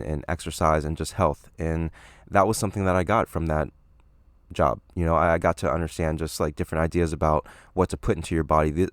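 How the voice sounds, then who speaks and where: A young man with a deep, slightly raspy voice hosts a solo podcast in a loose, meandering way. He hedges often and leans on fillers as he thinks aloud. The sound is dry and close, with no room echo. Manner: rambling, hedging, many fillers. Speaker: podcast host. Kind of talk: solo casual podcast monologue.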